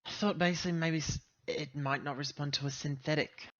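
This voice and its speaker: A man's voice: a little high, fairly even intonation, brisk, a bit bright, dull audio. A man playing a tabletop roleplaying game, speaking casually in character.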